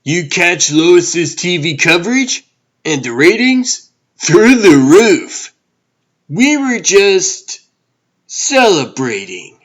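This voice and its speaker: A man's voice: sits high, very animated, slow, fairly bright tone, exaggerated voice. A man playing a cheerful, breezy, chatty boyfriend in a comic book dub. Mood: breezy, upbeat.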